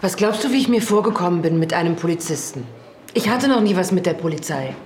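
An actress playing a blunt, reproachful sister, a surgeon who lectures bluntly in a radio play. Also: female voice, on the low side, expressive, quick pace, a little mellow, a little hiss or hum, lightly acted. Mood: scolding. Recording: roomy, with music.

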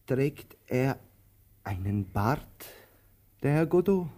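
An actor playing a weary, anxious male tramp in a slow theatrical radio drama, musing haltingly with long pauses and sudden urgency. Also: mid-range voice, lively intonation, warm tone. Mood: probing, insistent.

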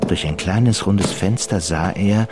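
A man's voice: deep voice, unhurried. A man narrating a radio drama from a script.

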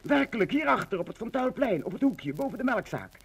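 An excitable husband in a 1950s radio play. His voice is very high and husky, leaping about as he eagerly insists on his news. The delivery is urgent and theatrical. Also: a little fast, full character voice. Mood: excited, insistent. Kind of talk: 1950s radio drama acting.

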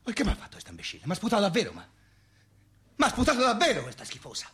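Radio theatre: hot-tempered, repetitive Tuscan ranter, male, high and very animated. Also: very fast, full character voice. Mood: furious. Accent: Tuscan Italian. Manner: outraged, repetitive, sputtering. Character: indignant, disgusted, hot-tempered ranting man. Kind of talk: theatrical radio drama dialogue.

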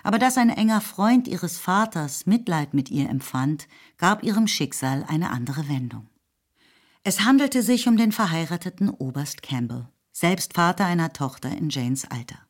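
A woman narrating a literary radio drama in a measured, composed way. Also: lowish voice, lively intonation.

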